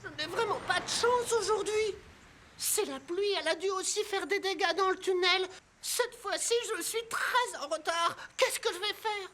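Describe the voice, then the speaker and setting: A woman's voice: very high voice, normal speed, a bit bright. A woman voicing a frightened little talking bus in a children's cartoon, whining and stammering. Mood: dismayed. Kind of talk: French-dubbed children's cartoon acting.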